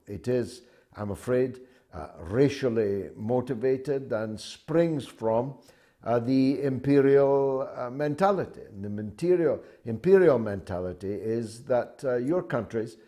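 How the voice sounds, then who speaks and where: An older man with a Scottish accent hosting a call-in talk show, speaking forcefully and emphatically with many pauses. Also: mid-range voice.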